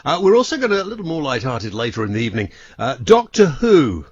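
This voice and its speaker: A man's voice: medium pitch, wildly swooping pitch, quick pace, husky, dry recording. A male radio presenter talks in an upbeat, chatty way, his voice rising and falling a lot. There is a slight huskiness to it. The dry studio sound is a little dull. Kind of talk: radio talk show chat.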